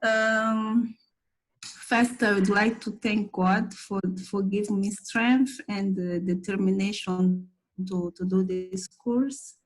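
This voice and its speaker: Woman from Mozambique with a Portuguese accent; slow, halting graduation thanks. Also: medium pitch, fairly even intonation, poor audio. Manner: slow, halting, with many fillers. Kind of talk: graduation thank-you speech.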